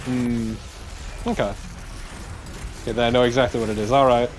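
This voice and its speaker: A man's voice: mid-range voice, steady tone, moderate pace, slight background noise. A man co-commentating on a game in casual chat with friends. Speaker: let's play co-commentator. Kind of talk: casual chat over gameplay.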